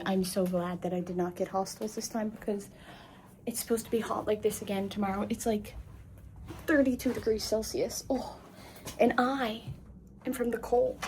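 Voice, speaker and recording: A woman's voice: mid-range voice, warm tone, slight background noise, poor audio. A young woman from Labrador, Canada, chatting casually in a travel vlog, rambling and self-deprecating, hot and tired. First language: English. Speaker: travel vlogger.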